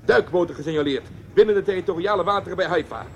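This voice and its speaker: An actor in a radio drama playing an urgent, commanding wartime intelligence chief. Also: male voice, sits high, quick pace, fairly bright tone, a little hiss or hum. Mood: grave, urgent.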